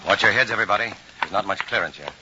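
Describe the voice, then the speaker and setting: A man's voice: lowish voice, very fast, close to an everyday voice. An actor playing an earnest, decisive male scientist in a 1950s radio drama, urgent and assured. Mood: hurried. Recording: dull, faintly noisy old radio recording.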